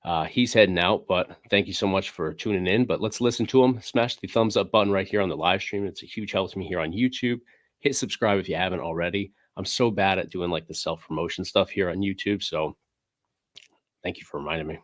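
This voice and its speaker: Low-voiced man rambling on a livestream. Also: a little fast, dry recording, studio quality. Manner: rambling, filler-heavy, reads chat aloud. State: recovering from a cold, sniffling. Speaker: livestream host. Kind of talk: casual livestream chat. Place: indoor game room. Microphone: desk microphone.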